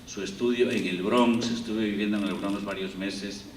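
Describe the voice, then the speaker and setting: A man's voice: lowish voice, steady tone, moderate pace. A man from Spain with a Spanish accent, giving a rambling university lecture with many fillers and restarts. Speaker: guest lecturer. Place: lecture hall. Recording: echoey hall, distant, faint room noise.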